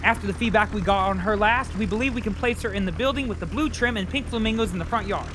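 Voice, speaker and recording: An actor playing an efficient, businesslike Santa's field agent who gives brisk official briefings in an audio play. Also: mid-range voice, background noise, lightly acted. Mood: matter-of-fact.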